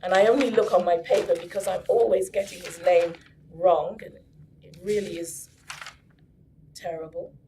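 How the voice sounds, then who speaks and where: A woman hosts a story circle at a conference and lays out how it will run. She is friendly and organized and slips in light jokes. Her voice is mellow and warm, with a faint hiss behind it. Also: fairly low pitch, steady tone, normal speed, long pauses, rough recording. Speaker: host. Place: conference room.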